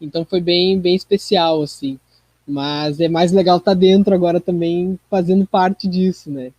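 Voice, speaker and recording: A man's voice: sits high, flat delivery, moderate pace, darkish tone, no echo. A man with a southern Brazilian accent from Rio Grande do Sul, chatting casually as an actor being interviewed.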